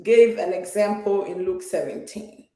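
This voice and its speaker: A woman's voice: medium pitch, fairly even intonation, a little slow, dark tone, reverberant. A woman from Ghana, a guest in an interview, speaking earnestly with many fillers and repeated words. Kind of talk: conversational interview.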